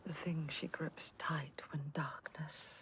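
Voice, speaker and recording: Foreboding female spirit, low-pitched, very slow, breathy whispering, cryptic, game narration, noisy ambience. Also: level tone, a bit bright. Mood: solemn, foreboding. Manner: slow, measured, portentous. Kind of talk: dramatic video game narration.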